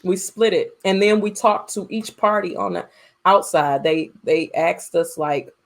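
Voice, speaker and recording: A woman's voice: lowish voice, steady tone, a little slow, vocal fry. A woman co-hosting a podcast in casual chat, speaking emphatically and repeating phrases for effect. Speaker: podcast co-host.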